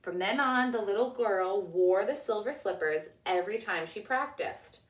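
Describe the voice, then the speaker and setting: A woman narrating a children's storybook as a teacher reading aloud, with deliberate, expressive delivery. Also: medium pitch, distant mic. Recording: echoey room, away from the mic.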